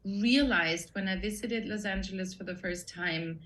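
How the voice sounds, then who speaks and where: A woman's voice: medium pitch, normal speed, fairly bright tone. A woman in her forties from Austria with an Austrian German accent, speaking thoughtfully as a podcast guest, with frequent ums and restarts. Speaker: guest, production designer. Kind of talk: casual interview conversation.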